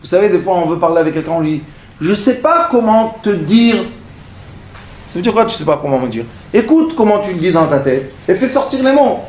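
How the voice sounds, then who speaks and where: A man's voice: high-pitched, big pitch swings, brisk, darkish tone. A man teaching a Torah lesson as a rabbi, telling little stories with acted-out dialogue and lots of rhetorical questions. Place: lecture hall. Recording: echoey room.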